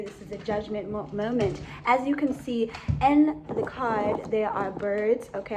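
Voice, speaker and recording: A woman speaks as a psychic tarot reader, addressing her audience in an improvised, halting flow. Her pitch sits in the middle range, and she often punctuates points with short checking tags. There is noticeable noise behind her voice. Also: a little mellow, poor audio.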